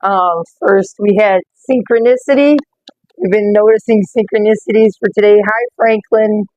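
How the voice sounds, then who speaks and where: A woman with a slightly rough voice, reading oracle cards in a casual live stream and greeting viewers by name. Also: medium pitch, steady tone, normal speed, a little mellow, no echo, highs cut off. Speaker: oracle card reader.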